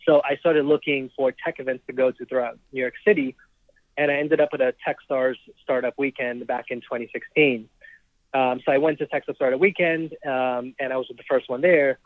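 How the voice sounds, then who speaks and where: A man in his thirties from Trinidad and Tobago, a startup founder telling his story in a relaxed interview, with lots of ums and restarts. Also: mid-range voice, brisk, dry recording. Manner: relaxed, laughs often, many ums and restarts. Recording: dry, tinny phone-like remote line.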